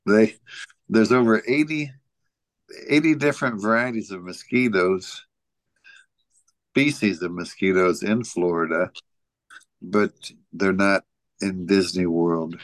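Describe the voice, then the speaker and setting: A man co-hosting a casual podcast, reading out trivia in a halting, joking way. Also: low voice, no room sound. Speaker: podcast co-host.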